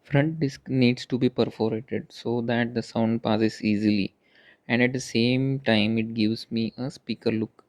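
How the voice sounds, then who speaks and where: A man narrates a hands-on making project in a plain, explanatory voice-over. His mid-pitched voice stays flat and even, with a matter-of-fact delivery. The recording is dry, with very little room sound. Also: a little slow, dry recording. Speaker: maker narrating a 3D printing project.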